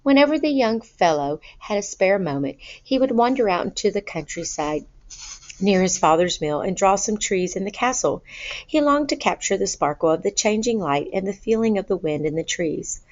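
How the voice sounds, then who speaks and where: A woman reading a children's story aloud in an expressive, deliberate storytelling manner. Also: medium pitch. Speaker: storybook reader. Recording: boxy roomy sound, dull lo-fi.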